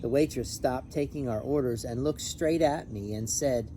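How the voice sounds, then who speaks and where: A man reading a children's picture book aloud in an expressive, animated, emphatic way. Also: mid-range voice, normal speed, slight background noise. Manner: animated, emphatic on repeated refrain. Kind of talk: expressive storybook read-aloud with brief asides.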